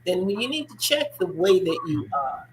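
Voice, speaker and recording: A woman's voice: lowish voice, very animated, moderate pace, slight background noise, low-quality recording. A woman hosting a faith-based discussion show, speaking earnestly and repeating her points for emphasis. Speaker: talk show host.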